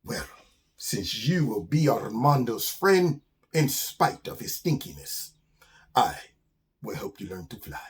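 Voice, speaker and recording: A man's voice: mid-range voice, very animated, slow pace, long pauses, pitched below the performer's own voice. A man voicing a pudgy, vain male skunk in a children's story, pompous and boastful but kind. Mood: magnanimous. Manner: pompous, grandly self-admiring, hesitant when questioned.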